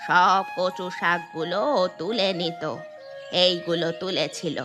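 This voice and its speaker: A woman voicing a scolding old village grandmother in a cartoon, with a sharp, rustic way of talking. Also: fairly low pitch, fairly even intonation, takes it slowly, over music. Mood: grumbling, matter-of-fact. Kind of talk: cartoon story dialogue.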